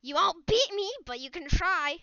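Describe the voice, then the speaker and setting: A woman's voice: very high-pitched, animated, normal speed, bright, forceful tone, dry recording. A woman giving taunting, exclamatory game voice lines in a recorded clip. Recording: dull dry lo-fi clip.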